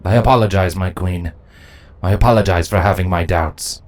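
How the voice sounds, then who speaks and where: A man's voice: sits low, moderate pace, a little mellow, voice pushed down, natural voice. A man voicing a loyal male changeling drone captain in a story reading, formal and respectful toward authority. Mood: apologetic, dutiful.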